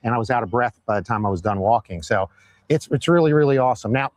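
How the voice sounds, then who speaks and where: A hoarse man hosting a live-stream interview outdoors, upbeat and fond of repeating phrases for emphasis. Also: mid-range voice, expressive, fast talker, no room sound. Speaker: host. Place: outdoors at a Christmas light display by a road.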